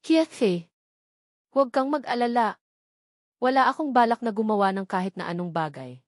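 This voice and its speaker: A woman's voice: a little high, expressive, moderate pace, no echo, lightly acted. Female narrator as young man, Filipino accent, cold, irritable, curt, stern, romance audiobook, dry sound.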